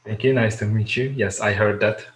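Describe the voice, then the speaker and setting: A man moderating a casual group discussion on a video call, friendly and unhurried with many fillers. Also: lowish voice, steady tone, reverberant, slight background noise.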